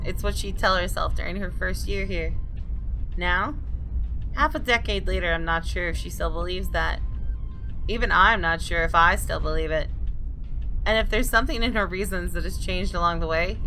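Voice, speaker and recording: A woman hosting a gaming video, chatting casually and reading game text aloud in an animated, informal way. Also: lowish voice, rough recording. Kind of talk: casual commentary and read-aloud of visual novel text. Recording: faint game music behind. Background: soft game music.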